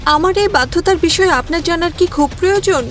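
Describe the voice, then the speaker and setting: Woman voicing a high-pitched anxious young mermaid bride. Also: fast, soft background music. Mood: earnest. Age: young adult. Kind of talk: Bengali fairy-tale cartoon acting.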